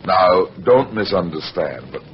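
An actor playing a wry, kindly hard-boiled detective in an old-time radio drama, clipped and matter-of-fact. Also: male voice, low voice, very animated, a little slow. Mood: reassuring. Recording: muffled, noisy old radio recording.

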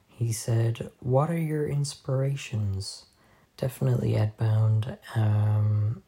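Hoarse, mellow-voiced man on a phone mic. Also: fairly low pitch. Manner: soft-spoken, halting, drifting off mid-sentence. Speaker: podcast host. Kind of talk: casual solo podcast Q&A. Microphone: phone voice memo.

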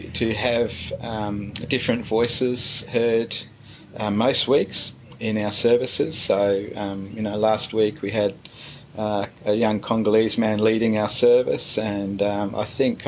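Middle-aged man, pastor, low-ish voice, unhurried and hesitant, faint background hiss. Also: low-quality recording. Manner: relaxed, hesitant with many fillers. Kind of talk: casual interview answers.

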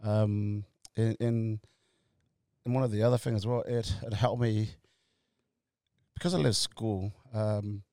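A man in his forties from Tonga, telling his story as an interview guest in a halting way, full of fillers and restarts, laughing easily. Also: lowish voice, fairly even intonation, warm tone, no echo, clean studio sound. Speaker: guest, company co-founder. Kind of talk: casual interview chat.

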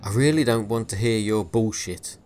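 A man's voice: medium pitch, moderate pace, slight background noise, voice pushed up, lightly acted. A man voicing a surly male sailor who barks dismissively. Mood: irritable. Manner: barking, dismissive.

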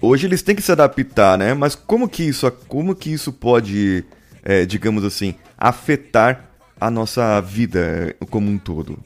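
Low-voiced Brazilian podcaster chatting. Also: male voice, expressive, moderate pace. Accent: Brazilian Portuguese. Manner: conversational, asks questions to the others. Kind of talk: casual podcast discussion.

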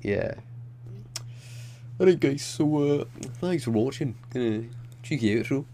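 A man's voice: medium pitch, expressive, a little slow, warm tone, slight background noise. A man co-hosting a podcast, teasing his co-host and swearing often in casual chat.

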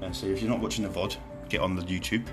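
A man's voice: low voice, steady tone, quick pace, over music. A British man casually hosting his own podcast, rambling, joking at himself and swearing freely. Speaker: podcast host. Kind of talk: casual solo podcast chat.

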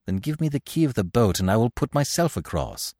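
A man voicing a cool, resolute gentleman fugitive with a quiet, formal and deliberate way of speaking in an audiobook story. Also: medium pitch, sing-song, no echo, studio quality, voice pushed up. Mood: quiet persuasion.

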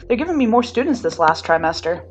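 A woman's voice: a little high, big pitch swings, quick pace, faint music behind, voice pushed up. Woman as an affectionate, upbeat teenage jock. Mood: apologetic. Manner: cooing, upbeat. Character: Kirishima, affectionate good-natured teenage jock boy. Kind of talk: acted dialogue in an audio fiction story.

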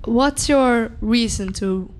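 A woman co-hosting a casual interview who talks haltingly, with many restarts and fillers. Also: on the high side, slight background noise. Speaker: co-host.

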